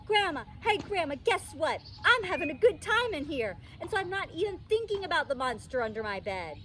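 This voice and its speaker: A woman plays an excitable little storybook girl, stubborn and easily scared. The voice is very high and swoops around with emphatic, childlike pleading. It is recorded outdoors with clear noise. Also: a little fast, heavily acted. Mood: joyful. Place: outdoors in a park.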